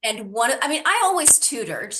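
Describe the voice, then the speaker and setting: A woman's voice: fairly high pitch, big pitch swings, normal speed, bright, forceful tone, a little hiss or hum. A middle-aged woman answering interview questions conversationally, in an earnest and emphatic way. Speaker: interview guest, tutoring business founder.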